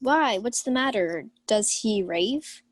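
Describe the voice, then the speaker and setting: A woman's voice: a little high, lively intonation, slow. A woman playing a proud, lovestruck young lady of the house in a Shakespeare radio play, formal and declamatory. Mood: puzzled, commanding. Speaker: student actor.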